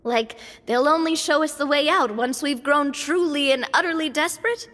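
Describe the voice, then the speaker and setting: A woman's voice: sits high, moderate pace, fairly bright tone. An actress playing a bold, upbeat young woman adventurer with a casual, confident way of talking. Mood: hesitant, curious. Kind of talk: scripted game voice acting. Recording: echoey reverb, music behind.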